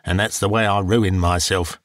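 A man voicing a shrewd old male junk dealer who haggles in a slow, wheedling way. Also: low-pitched, fairly even intonation, no echo, clean studio sound, lightly acted. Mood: sly.

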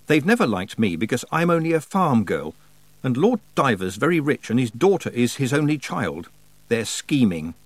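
Storybook lead: indignant country girl, high, very lively intonation, voiced by a male narrator. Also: normal speed, no room sound. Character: indignant, fiery, stubborn farm girl. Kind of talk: audiobook character voice.

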